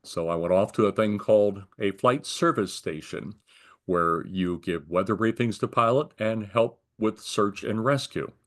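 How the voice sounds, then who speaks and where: A man's voice: lowish voice, measured pace. An older American man in a conversational interview, explaining his working life in careful detail. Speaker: retired air traffic controller being interviewed.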